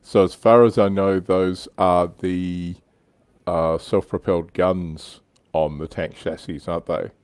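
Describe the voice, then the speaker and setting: A man's voice: deep voice, fairly even intonation, a little slow, darkish tone, clear, resonant tone, no room sound. A man hosting a casual hobby livestream, reading out comments and chatting in an informal, wry way. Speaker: livestream host.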